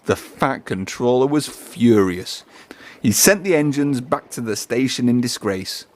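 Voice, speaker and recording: A man's voice: medium pitch, expressive, measured pace. Man reading a children's story aloud. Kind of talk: read-aloud story narration.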